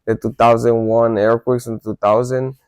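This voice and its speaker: A man's voice: mid-range voice, little pitch movement, normal speed, darkish tone, smooth, no room sound. A man from El Salvador, telling his life story to his viewers in a loose, conversational way with false starts and self-corrections. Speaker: vlogger. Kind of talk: casual storytelling to camera.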